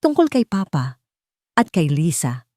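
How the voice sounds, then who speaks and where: A woman's voice: sits low, big pitch swings, measured pace, mellow, dry recording. Casting: woman playing a wealthy son in audio drama, urgent, blunt, anguished, with dry close sound. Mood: blunt urgency. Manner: earnest, urgent, direct. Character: determined, anguished rich son demanding the truth.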